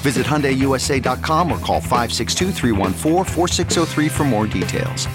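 A man's voice: medium pitch, expressive, normal speed, over music. A man reading a scripted car commercial as an advertising voice-over.

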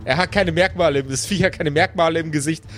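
Man, game master, slightly high voice, improvised storytelling, casual asides, background music. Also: fast, a bit bright. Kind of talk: improvised tabletop game narration and casual chat.

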